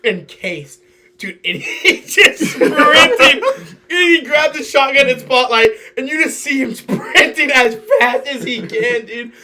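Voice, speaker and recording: A man's voice: very high voice, animated, unhurried, very noisy. A young man telling casual stories among friends. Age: young adult. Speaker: podcast host. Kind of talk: casual anecdotal storytelling with friends.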